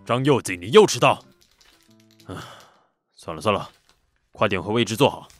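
An actor playing a patient, resigned male high-school teacher in an audio drama, matter-of-fact and mildly weary. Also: animated, normal speed, no room sound, faint music behind, natural voice. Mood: resigned scolding. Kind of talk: Mandarin audio drama acting.

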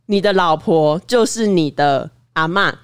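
A woman's voice: on the low side, unhurried, clean tone, no room sound, clean studio sound. A woman with a Taiwanese Mandarin accent chatting casually as a podcast co-host.

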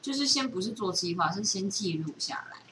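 Slightly away from the mic amid clear noise, a woman with a Taiwanese Mandarin accent talks quickly. Her delivery is candid and colloquial, in the style of a casual podcast chat. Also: mid-range voice, animated, fairly bright tone. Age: middle-aged. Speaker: podcast co-host. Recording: noisy, distant from the microphone.

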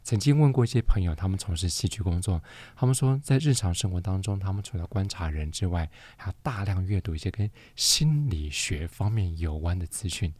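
A man's voice: deep voice, lively intonation, brisk, few pauses, darkish tone, no room sound. A man with a Taiwanese accent hosting a podcast interview in a polished, articulate broadcast delivery. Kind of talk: scripted podcast introduction and interview.

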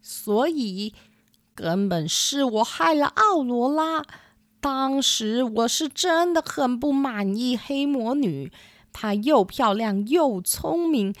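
Mum voice playing sorry queen in a kids story, kinda high, slow, blaming herself, a woman full of regret. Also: no room sound, clean studio sound, full character voice. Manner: apologetic, self-reproaching. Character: regretful jealous queen and anxious mother. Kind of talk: children's storybook radio play.

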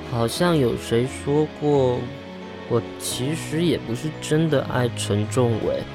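Slow, drunken heartbroken Taiwanese guy. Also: mid-range voice, music in the background. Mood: drunk, bitter. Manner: slurred, tipsy rambling. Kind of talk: Mandarin radio drama acting.

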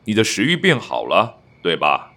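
Man playing a low-voiced, patronising young husband. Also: wildly swooping pitch, moderate pace, pushed and bright, heavily acted. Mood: tender, condescending. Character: patronising, controlling young husband, outwardly tender. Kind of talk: audio drama acting.

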